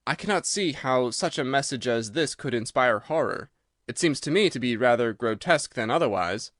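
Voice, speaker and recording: A man's voice: mid-range voice, animated, normal speed, fairly bright tone, no room sound, studio quality, natural voice. A man voicing a mild-mannered, curious companion of a detective, speaking politely and questioningly in an audiobook. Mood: puzzled. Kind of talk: dramatised audiobook reading.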